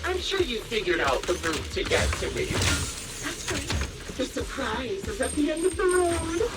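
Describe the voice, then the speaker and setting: A cruel sniper villainess from a video game, who savours every word. Her voice swings widely and sits fairly high, mocking and theatrical, over loud music. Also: very animated, recorded from a distance. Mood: teasing. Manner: theatrical, mocking, drawn-out teasing delivery. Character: taunting sadistic female sniper villain, gleefully cruel.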